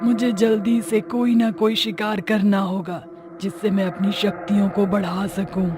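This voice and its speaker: A woman voicing a gleeful, bloodthirsty, liquor-loving witch in a cartoon story, with theatrical, drawn-out menace. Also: mid-range voice, flat delivery, over music, close to an everyday voice. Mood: weak, gloomy. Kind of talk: animated moral story dialogue.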